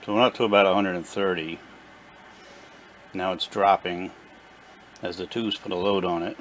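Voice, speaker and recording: A man with a fairly low voice walks through a hands-on repair in a casual, unscripted way. His delivery is plain and a little halting as he explains each step. The recording is home camera audio from a workbench, with noticeable background noise. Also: steady tone, a bit bright. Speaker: presenter of a radio repair video. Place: small indoor workshop at a workbench.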